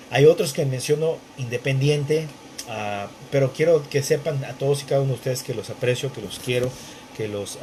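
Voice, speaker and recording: A man teaching the Bible from his bedroom at home, talking haltingly with many fillers and restarts while wearing headphones. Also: medium pitch, a little mellow, a little hiss or hum, poor audio. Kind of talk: conversational Bible study intro.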